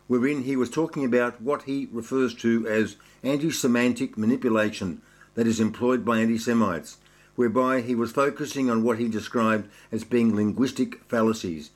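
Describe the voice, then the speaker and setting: Male show host, reads a formal intro briskly, plain mid-pitched voice. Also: a little mellow. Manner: brisk, formal read-through. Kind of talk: scripted introduction read aloud.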